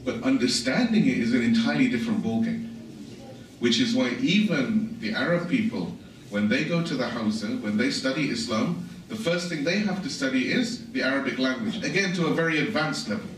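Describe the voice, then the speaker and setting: A man's voice: mid-range voice, animated, a little fast. Man lecturing in a very echoey hall. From England. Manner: conversational, explanatory, with asides and self-corrections. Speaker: religious lecturer. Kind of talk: informal religious lecture. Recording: echoey hall, voice from far off, faint hiss.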